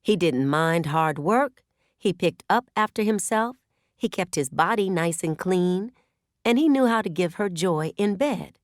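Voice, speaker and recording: A woman reading a novel aloud as an audiobook narrator, with expressive storytelling delivery. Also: on the low side, unhurried, no echo, clean studio sound. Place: dry recording studio.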